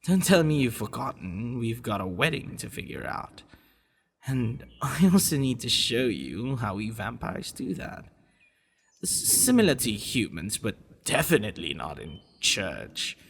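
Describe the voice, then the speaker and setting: A man playing a doting, possessive vampire lover in an ASMR roleplay, talking tenderly and teasingly in a husky, gravelly voice. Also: mid-range voice, big pitch swings, measured pace, fairly bright tone, slight background noise, heavily acted. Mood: gentle, reassuring. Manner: tender, soothing, teasing, intimate. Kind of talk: ASMR boyfriend roleplay acting.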